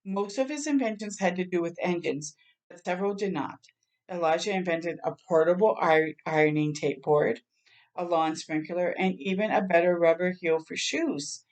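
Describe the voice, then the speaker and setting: Expressive woman reading a children's storybook, lowish voice. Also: normal speed. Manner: expressive, acting out sound words and exclamations. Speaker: children's read-aloud storyteller. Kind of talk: picture-book read-aloud with asides to listeners.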